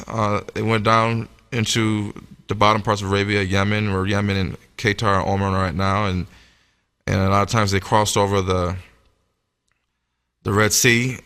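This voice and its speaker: A man's voice rings through a long reverb, fairly low in pitch. He delivers a religious lecture with a bright, forceful edge. His manner is emphatic and instructive, like a teacher of scripture. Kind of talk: sermon-like lecture with scripture reading. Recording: echoey hall with long reverb.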